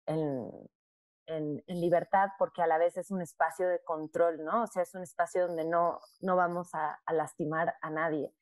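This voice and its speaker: A woman's voice: on the low side, steady tone, no room sound. Reflective woman theatre director, dry sound. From born in Argentina, raised in Mexico. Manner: reflective, with frequent pauses and repeated words. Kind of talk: interview answers.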